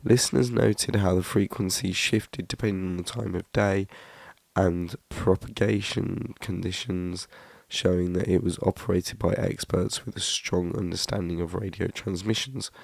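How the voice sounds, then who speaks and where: A British man with a deep voice and a rough, gravelly edge tells his story in a measured, hushed tone that slips into a whisper at times. The sound is close and dry, with no room around it. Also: fairly even intonation, dry recording. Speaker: channel host. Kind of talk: scripted documentary narration.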